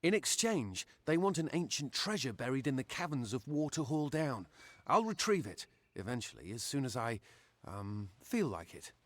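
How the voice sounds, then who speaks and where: A man's voice: medium pitch, big pitch swings, moderate pace, dry recording. A man voicing a naive, boastful young man in a video game, speaking in an earnest, impulsive, self-important way. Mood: reluctant, sheepish. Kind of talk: video game character acting.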